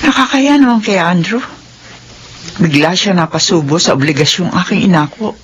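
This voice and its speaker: Actress as expressive, firm middle-aged mother. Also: fairly low pitch, normal speed, muffled recording, faint music behind, lightly acted. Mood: troubled. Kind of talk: Filipino radio drama acting.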